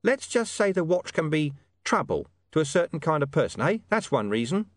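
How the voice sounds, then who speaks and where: A man's voice: fairly high pitch, very animated, moderate pace, dry recording, pitched above the performer's own voice. A man voicing a shady, streetwise talking dog who poses as a mysterious informant in an audiobook story. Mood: sly, conspiratorial. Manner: streetwise, evasive, menacing slang.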